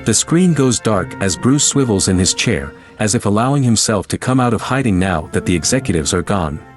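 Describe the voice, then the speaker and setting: Male narrator: scripted scene description, fairly low register, backed by clear music. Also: lively intonation, a little fast. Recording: music behind the voice.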